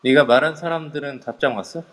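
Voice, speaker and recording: A man playing a young male group leader who gives brisk orders in an amateur audio drama. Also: fairly high pitch, natural voice. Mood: lively. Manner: animated, commanding. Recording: reverb-heavy online audio.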